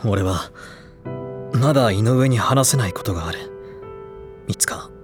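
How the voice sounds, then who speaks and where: An actor playing a stoic, reserved high school boy, talking in a measured, serious way in an audio drama. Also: music in the background, close to an everyday voice. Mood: grave, hesitant. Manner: measured, formal, restrained. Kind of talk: Japanese audio drama dialogue.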